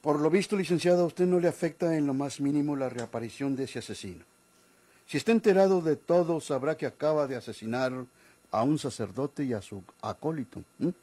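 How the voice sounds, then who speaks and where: Mellow-voiced, proud aging policeman. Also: on the high side, fairly quick, slightly rough. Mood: deferential, probing. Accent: Mexican. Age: older. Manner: deferential yet insistent, formal. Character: proud aging retired policeman, stubborn and aggrieved. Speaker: actor. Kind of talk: Mexican golden-age radio drama acting.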